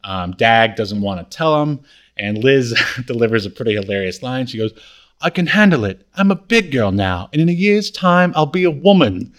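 Halting man recapping a TV comedy. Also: mid-range voice, very animated. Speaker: podcast co-host. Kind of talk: casual recap chat about a TV comedy.

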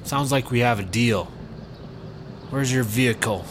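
A man's voice: medium pitch, unhurried, slight background noise, natural voice. A man playing an anxious, earnest leader of misfit travelers in a sci-fi comedy audio drama, hesitant and pleading. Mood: uncertain.

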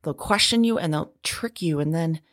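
A woman playing a frightened, anguished woman awaiting arrest in an audio drama, speaking haltingly and often dropping into breathy whispers. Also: deep voice, lightly acted. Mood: dread.

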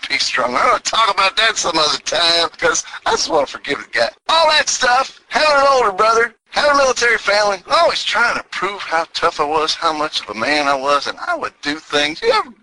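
A man in his sixties, from New England, with a Texan twang, telling stories in an animated, folksy way. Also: sits high, brisk, gravelly, slight background noise, rough recording. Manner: animated, exclaiming, chuckling folksy talk. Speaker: vlogger, military veteran. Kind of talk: casual storytelling monologue.

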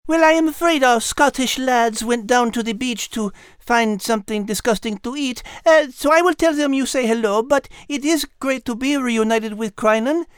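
Dainty high-voiced French lady cat played by a woman. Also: normal speed, hardly any pauses, clear, resonant tone, no room sound, studio quality, natural voice. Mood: warm, courteous. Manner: polite, dainty, hesitant when flustered. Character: polite, refined young female French cat, prim and clever. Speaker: podcast co-host character.

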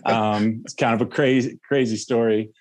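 A man speaking as a guest on a podcast, earnest and measured as he reflects on his views. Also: medium pitch, steady tone, a little mellow. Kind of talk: casual interview conversation.

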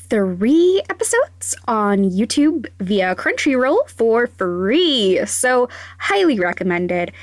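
A woman's voice: on the high side, no room sound. A woman hosting a podcast segment, chatting enthusiastically and laughing as she gives a recommendation. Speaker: podcast host.

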